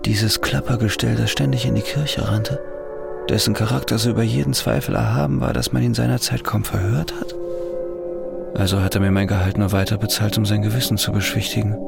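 Heavy gravel fills this man's voice, with loud music behind it. a brooding ex-convict's inner monologue in a radio crime play, bitter and sardonic. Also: fairly low pitch, fairly even intonation, a little fast. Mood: scornful.

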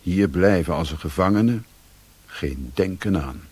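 A man's voice: low-pitched, animated, darkish tone, husky, exaggerated voice. An actor playing a brooding European drifter who narrates his own story in a radio play, introspective and literary in delivery. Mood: brooding, detached. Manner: slow, introspective. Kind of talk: first-person literary radio drama narration.

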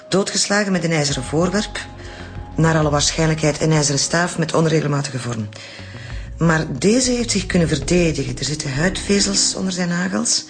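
A wry Flemish forensic doctor, played by a man in a radio crime drama. The voice is fairly high for a man, the delivery clinical and dryly teasing, over faint music. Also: natural voice. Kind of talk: naturalistic radio drama acting. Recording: music under the dialogue.

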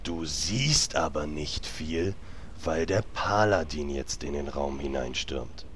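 A man's voice: a bass voice, slow pace, a bit bright, slight background noise, heavily acted. A man acting an exasperated game master in a comedy audio drama, explaining things in a weary, impatient way. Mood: weary narrating. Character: exasperated male game master of a tabletop group.

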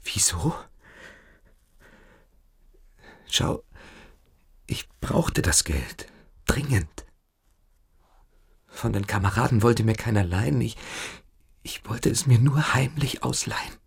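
An actor playing a shamed, evasive teenage cadet in a radio play, halting and self-justifying. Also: male voice, medium pitch, animated, creaky, breathy edge, heavily acted. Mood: pleading. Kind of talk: German radio play acting.

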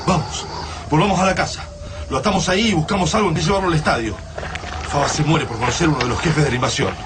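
Actor as fast-talking, urgent Argentine resistance fighter. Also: male voice, on the high side, noisy background, natural voice. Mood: decisive. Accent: Argentine (Rioplatense). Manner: urgent, colloquial. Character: resolute, quick-thinking man leading a resistance fighter escape. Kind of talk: radio drama acting.

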